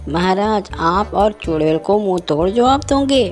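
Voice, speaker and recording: A woman voicing a sly male fox, a wheedling, knowing adviser to a king, in an animated moral story. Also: mid-range voice, a little slow, faint music behind, lightly acted. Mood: mocking.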